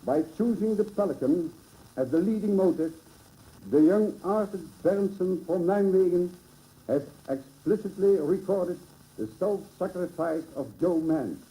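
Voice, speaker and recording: A man with a Dutch accent delivers a formal commemorative address. His voice sits high yet sounds very dull and soft-edged. He phrases solemnly and oratorically, heard through an old 1950s radio broadcast recording. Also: a little slow. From Netherlands. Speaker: local dignitary giving a dedication address. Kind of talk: formal ceremonial speech read from a script. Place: public ceremony at a monument dedication.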